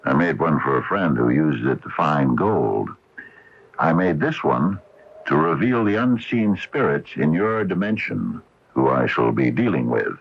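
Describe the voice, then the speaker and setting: An actor in a radio drama playing an older, hesitant scientist turned storekeeper, speaking in halting explanations. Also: male voice, very deep voice, expressive, breathy edge, exaggerated voice. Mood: hesitant explaining. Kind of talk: 1980 radio drama acting. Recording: dull lo-fi vintage radio broadcast.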